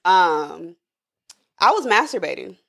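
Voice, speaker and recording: A woman's voice: fairly low pitch, sing-song, slow pace, creaky. A woman of thirty hosting a podcast, asking questions and telling frank stories with frequent giggles. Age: 30s. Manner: frank, giggly, quizzes her guest. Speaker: podcast host. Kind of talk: casual chat.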